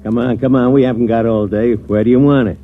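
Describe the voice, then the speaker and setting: A man's voice: mid-range voice, steady tone, fast, faint music behind, close to an everyday voice. An actor playing a brusque, wisecracking moving man in a 1950s radio drama. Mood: impatient. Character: gruff impatient male moving man. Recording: tinny old radio recording.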